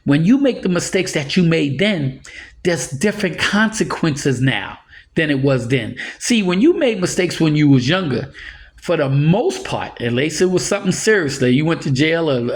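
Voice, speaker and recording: Man, 60s, slightly high voice, earnest and rambling advice-giver who chuckles now and then. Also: expressive, normal speed. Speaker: motivational speaker. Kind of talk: casual advice monologue.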